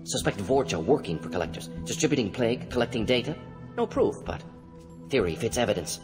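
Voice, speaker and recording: A man playing a brisk, brainy alien scientist-doctor in a video game, muttering through deductions in clipped fragments. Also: medium pitch, very animated, close to an everyday voice. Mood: clinical. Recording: game audio, reverberant, music behind.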